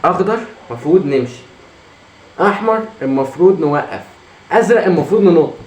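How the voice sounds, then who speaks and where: A hoarse man with an Egyptian Arabic accent, commenting on his own gameplay, muttering encouragement to himself and breaking into frustrated outbursts. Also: fairly high pitch, expressive, a little fast, darkish tone. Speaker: gamer. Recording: echoey room with faint noise.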